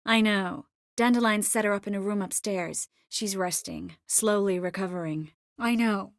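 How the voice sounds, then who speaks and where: An actress voicing a young sorceress in a video game, gentle, earnest and determined, with a measured, expressive delivery. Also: female voice, medium pitch, vocal fry, dry recording, clean studio sound, lightly acted. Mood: earnest, concerned. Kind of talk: video game character voice lines.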